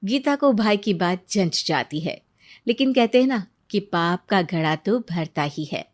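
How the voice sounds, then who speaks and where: A woman's voice: medium pitch, animated, unhurried, no room sound, studio quality. A woman narrating a scripted moral story as a storyteller.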